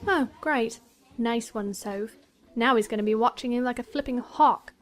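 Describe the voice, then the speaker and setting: High-pitched defiant teenage schoolgirl played by a woman. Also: moderate pace, natural voice. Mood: rueful. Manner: cheeky, self-talking, urgent. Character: defiant, anxious teenage schoolgirl on a secret mission. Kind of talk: full-cast audio drama acting.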